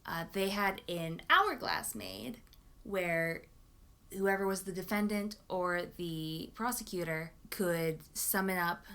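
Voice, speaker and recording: Young female vlogger, slow pace, slightly low pitch, enthusiastic and emphatic, casual delivery. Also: fairly bright tone. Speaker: book vlogger. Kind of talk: casual chat to camera.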